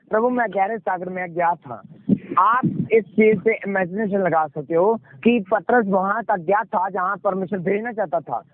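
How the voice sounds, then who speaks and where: Punjabi guy pastor preaching on a conference call, kinda high voice, keeps asking and answering his own questions, thin phone sound. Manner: exhorting, repeats phrases, asks questions and answers them. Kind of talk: sermon with rhetorical questions, call and response. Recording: muffled, noisy conference call audio.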